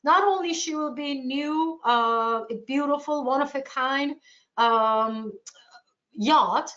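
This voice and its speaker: Turkish lady doing a sales webinar, kinda high voice, keen, muffled lo-fi audio. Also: slow, bright, forceful tone. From Turkey. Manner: enthusiastic. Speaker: travel sales presenter. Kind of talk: informal webinar presentation.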